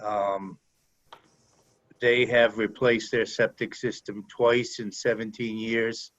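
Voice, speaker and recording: A man on a video call calmly brings a resident's problem before a town commission. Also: lowish voice, takes it slowly. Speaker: commission member. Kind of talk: speaking at a public meeting. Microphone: remote video call. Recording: dull lo-fi call audio.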